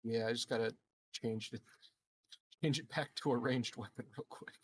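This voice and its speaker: A man's voice: medium pitch, steady tone, normal speed, slight background noise. A man playing a tabletop game, chatting casually in a wry way. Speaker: player.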